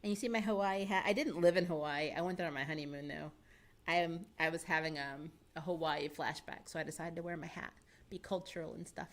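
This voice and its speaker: An American woman in her thirties chats on her live stream, animated and quick to laugh, thinking aloud with plenty of fillers. Her voice sits a little low for a woman. She speaks close into a boom mic in a small home studio. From United States. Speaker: live-stream host reading chat. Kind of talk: casual chat. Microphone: boom-arm studio microphone close to the mouth.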